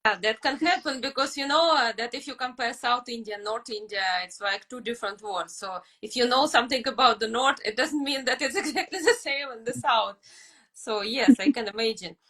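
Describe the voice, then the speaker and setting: Bright-voiced woman hosting an interview. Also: a little high, brisk, rough recording. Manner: polite, asks questions in a structured way. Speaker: interview host. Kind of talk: hosted interview.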